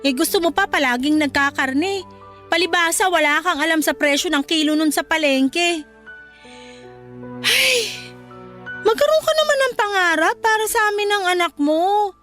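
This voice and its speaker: A woman's voice: very high voice, animated, normal speed, fairly bright tone, faint music behind. A woman playing a nagging, exasperated young wife and mother in a Filipino radio drama, scolding and sarcastic.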